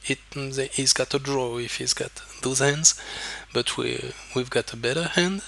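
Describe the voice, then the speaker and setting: Man, French accent, poker coach, slow unhurried delivery, bright forceful tone, halting and repetitive phrasing. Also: medium pitch, gravelly. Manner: halting, repeats words while thinking aloud. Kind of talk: explaining hands over screen recording.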